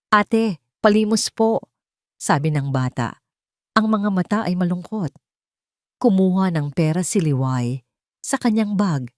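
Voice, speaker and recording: A woman tells a dramatic story in a scripted, deliberate way. Her voice sits on the low side, and the sound is dry and close. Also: darkish tone, no echo. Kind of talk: scripted audio drama narration.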